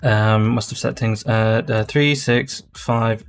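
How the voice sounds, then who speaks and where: A man's voice: fairly low pitch, fairly even intonation, slow pace, soft background music. A man hosting his gaming channel, rambling and thinking aloud in casual gameplay commentary.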